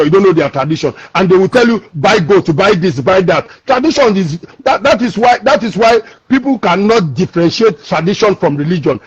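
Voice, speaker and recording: A man's voice: sits high, breathy edge, no echo, highs cut off. A man from Lagos with a Nigerian accent, calling into a discussion show and explaining emphatically, repeating and restarting his words. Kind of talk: call-in discussion.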